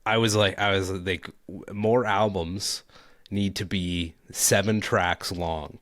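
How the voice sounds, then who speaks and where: A man in his thirties speaks with a deep, low voice in a dry, close sound. He rambles cheerfully, restarting his sentences as the enthusiasm runs ahead of him. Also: fairly even intonation, slow pace, a bit bright, no room sound. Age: 30s. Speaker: podcast co-host. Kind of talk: casual chat.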